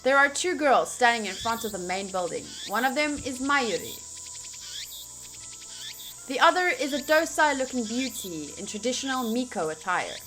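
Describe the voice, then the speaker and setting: A woman's voice: fairly high pitch, moderate pace, fairly bright tone, low-quality recording. A woman game streamer reading a visual novel aloud theatrically, mixed with casual reactions. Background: faint game music.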